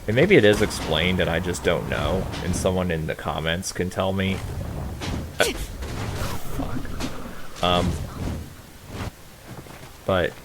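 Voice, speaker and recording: Low-voiced man doing casual game commentary. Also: slow pace, pauses often. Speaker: let's play host. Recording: noisy home gameplay recording.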